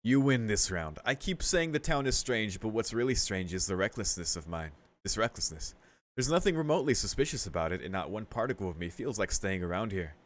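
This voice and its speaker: A man's voice: lowish voice, expressive, brisk. A man reading a visual novel aloud in a casual let's-play style, mixing narration with his own commentary.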